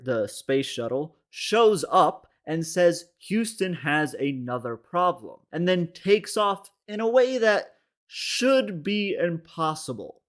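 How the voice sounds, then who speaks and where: A man narrating a comedic video essay in a wry, mock-serious way, with exasperated asides. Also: a little high. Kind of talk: comedic video essay commentary on animated shorts.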